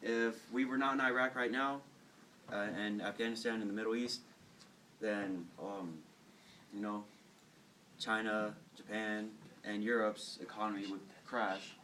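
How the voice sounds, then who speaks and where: Teenage boy, low voice, rambling with fillers, heard from a distance with faint noise. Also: little pitch movement, sharp tone. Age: teens. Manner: rambling, halting, with fillers and repeated words. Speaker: student storyteller. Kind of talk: casual informal talk to classmates.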